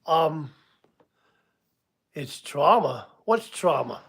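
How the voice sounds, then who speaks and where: Man, 60s, relaxed livestream host, higher-pitched voice, rambling and chuckly. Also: extremely slow, gravelly, husky. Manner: casual, rambling, chuckles at himself, many ums. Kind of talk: live stream chat with viewers.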